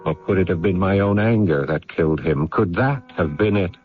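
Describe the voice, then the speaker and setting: An actor in a radio drama playing a lonely, haunted man of the house who muses haltingly and questions himself. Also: sits low, husky. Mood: brooding. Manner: halting, musing, self-questioning. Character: brooding, guilt-ridden gentleman of the house, haunted and lonely. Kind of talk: radio drama acting with monologue. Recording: muffled vintage radio drama recording, background music.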